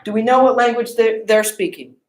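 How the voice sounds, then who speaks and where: A woman's voice: a little high, fairly even intonation, brisk, echoey room. A woman giving a classroom lecture, often asking the class questions and checking in with "okay?" and "right?".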